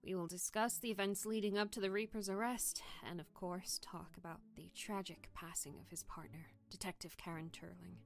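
An actress playing a composed television news interviewer, with a measured, formal presenting style in an audio drama. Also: female voice, fairly low pitch, steady tone, creaky.

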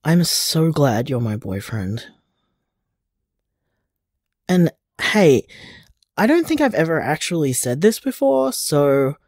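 Man acting a supportive boyfriend, gentle, earnest, unhurried, dry close sound, audio drama voicemail. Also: on the high side, no room sound, full character voice. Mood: tender, loving. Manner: tender, earnest, halting, casual.

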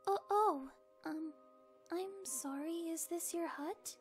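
A woman playing a timid, sweet young female pony in an animated audio drama, hesitant, gentle and polite. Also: very high voice, takes it slowly. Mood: nervous, apologetic. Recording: music behind the voice.

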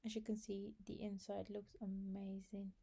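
A woman's voice: medium pitch, monotone, normal speed, vocal fry, warm tone, husky. A woman hosting a home cooking video, chatting through each step in a casual voice-over. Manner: chatty, talks viewers through steps with fillers. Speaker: cooking channel host.

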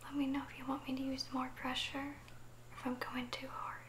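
A woman playing an affectionate, gently teasing girlfriend in a soft, soothing ASMR roleplay, speaking close to the microphone. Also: high voice, completely flat delivery, a little fast, slight background noise. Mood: caring, soothing. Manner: soft, soothing, giggly, caring.

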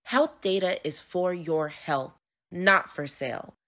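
A woman's voice: fairly low pitch, takes it slowly, fairly bright tone. A woman voice-over artist reading scripted commercial narration.

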